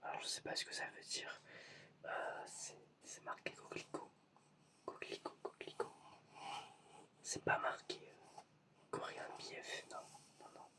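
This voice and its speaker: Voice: moderate pace, pauses often, distant mic. An ASMR creator whispering through a playful eye-test roleplay, asking patient, mock-puzzled questions. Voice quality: whispered, unvoiced.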